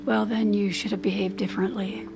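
A woman playing a flustered, hurting wife in an audio drama, speaking haltingly and apologetically. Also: medium pitch, flat delivery, creaky, music in the background, lightly acted. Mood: curt, strained. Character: Diana, flustered, hurting wife clinging to her estranged husband. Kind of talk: naturalistic audio drama acting.

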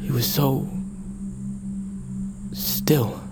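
Fierce young warrior, music behind. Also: male voice, mid-range voice, fairly even intonation, very slow. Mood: grieving, bitter. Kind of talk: dramatic audio fiction acting.

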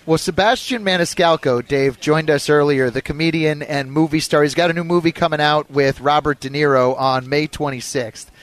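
A man with a somewhat high voice hosts a sports radio show. He introduces segments and puts questions to his guest in an interview style. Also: steady tone, moderate pace, hardly any pauses.

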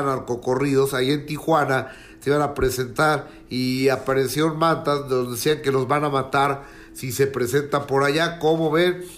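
Male entertainment reporter, medium pitch. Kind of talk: conversational news report. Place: reporting remotely from Mexico City.

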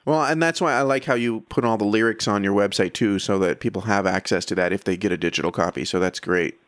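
A man's voice: on the low side, quick pace, fairly bright tone, no room sound, clean studio sound. A man speaking as a music podcast host and interviewer in a casual, conversational style.

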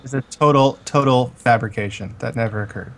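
Male podcast co-host, middle-pitched, informal, goofy mock-serious delivery. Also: fairly quick, a bit bright. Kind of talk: casual chat.

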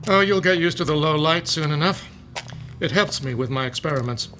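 An actor playing a reclusive, eccentric fungus professor who lectures mildly. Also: male voice, on the high side, fairly quick, pushed and bright, soft background music, low-quality recording. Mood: lecturing. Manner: mild, lecturing.

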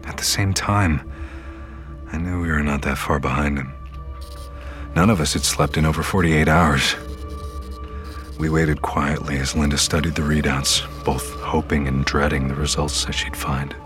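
An actor playing an exhausted, grim man in a horror audio drama, speaking in a hushed, grave and deliberate way. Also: very deep voice, fairly even intonation, over music. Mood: grave, weary. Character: exhausted, grim man narrating his survival horror story. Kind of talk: first-person audio drama narration and tense dialogue.

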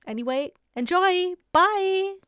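A woman's voice: very high-pitched, animated, takes it slowly, fairly bright tone, dry recording, clean studio sound. A woman hosting a podcast, giving a casual, informal announcement to listeners. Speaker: podcast creator and host.

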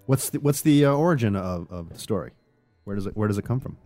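Male interviewer, casual, lowish and mellow, heard in long reverb over faint music. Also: expressive, fast talker. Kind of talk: casual questions.

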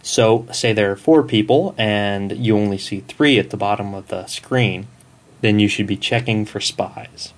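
A man's voice: fairly low pitch, a little slow. A man sending a prepared listener message, explaining something step by step.

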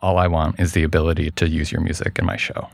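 A man's voice: rumbling low, little pitch movement, fast talker, vocal fry, no room sound, studio quality. A man as an interview guest, explaining his production work casually and thoughtfully, often repeating words as he goes.

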